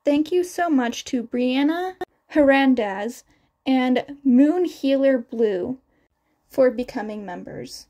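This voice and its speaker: High-voiced woman narrating slowly. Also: lively intonation, warm tone. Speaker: podfic narrator. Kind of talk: scripted fan fiction narration.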